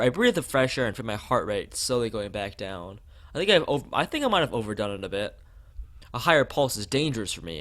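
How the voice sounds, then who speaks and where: A man's voice: on the low side, fairly bright tone. A man from the United States, a let's play streamer, reading a visual novel aloud.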